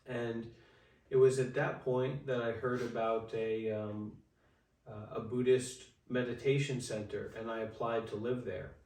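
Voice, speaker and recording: A man in his twenties tells his story in a halting, unhurried way. His voice sits in a narrow, flat range. It comes from some distance off, in a room with lots of echo. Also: mid-range voice. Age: 20s. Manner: halting, many fillers and restarts. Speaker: interview guest, Buddhist monk. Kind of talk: casual storytelling in an interview. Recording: echoey room, far from the mic.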